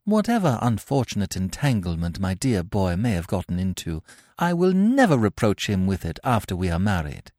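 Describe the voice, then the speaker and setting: A man voicing a sharp-tongued young country girl who speaks with falsely sweet, barbed politeness. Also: sits low, wildly swooping pitch, normal speed, no echo, clean studio sound, heavily acted. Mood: indignant.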